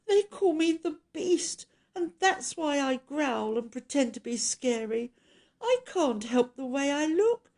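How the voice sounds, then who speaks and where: Woman voicing a high-pitched, tearful lonely beast. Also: dark tone. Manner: sobbing, pleading delivery. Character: lonely, tearful, misunderstood male beast creature. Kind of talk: children's storybook character voice.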